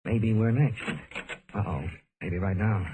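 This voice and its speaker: An actor playing a wisecracking, cynical insurance investigator with a wry delivery in a vintage radio detective drama. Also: male voice, fairly low pitch, unhurried, noisy background, close to an everyday voice. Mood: sardonic. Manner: wry, sardonic. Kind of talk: old-time radio detective acting and narration.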